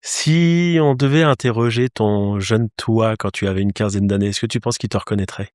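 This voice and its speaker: A man's voice: on the low side, normal speed, darkish tone, no echo, clean studio sound. A man hosting an interview, asking questions and chiming in with friendly, encouraging replies, outdoors. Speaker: interviewer host. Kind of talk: casual interview chat. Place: outdoors with a view of a landscape.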